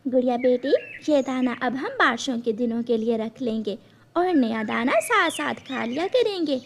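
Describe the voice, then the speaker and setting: A woman voicing a gentle, devoted mother sparrow, tender and earnest, in a cartoon story. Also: high-pitched, expressive, normal speed, a little hiss or hum. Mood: encouraging.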